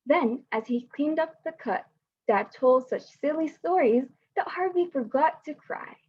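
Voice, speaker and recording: A woman's voice: high voice, expressive, unhurried. A young woman, a student volunteer, reading a children's picture book aloud over a video call, hesitant with fillers when chatting. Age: young adult. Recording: dull lo-fi video call audio.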